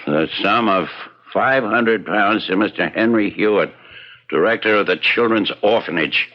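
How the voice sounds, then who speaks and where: An actor playing a miserly, roguish scoundrel in a radio drama, blustering and stammering in protest. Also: male voice, fairly low pitch, a little slow. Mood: indignant, grudging. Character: roguish, grudging, miserly scoundrel under threat of hanging. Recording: dull, lo-fi radio drama recording.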